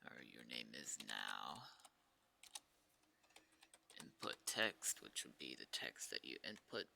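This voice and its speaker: A man with a low, creaky voice and a very bright, forward edge talks casually on a developer livestream. He thinks aloud in an informal way while working. Steady noise sits behind him, with keyboard typing and clicks. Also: slow pace. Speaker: game developer streaming live. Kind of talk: casual live coding stream, thinking aloud and chatting with viewers. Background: computer keyboard typing and clicking.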